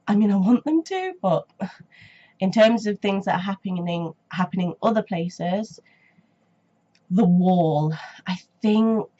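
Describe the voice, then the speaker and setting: A woman's voice: medium pitch, lively intonation, slow, pauses often, dark tone. A young woman with a cold and a blocked nose, chatting hesitantly on her reaction vlog with lots of ums and restarts. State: stuffy nose and sore throat from a cold. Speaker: reaction channel host. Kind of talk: casual reaction vlog chat. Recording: dull lo-fi home recording.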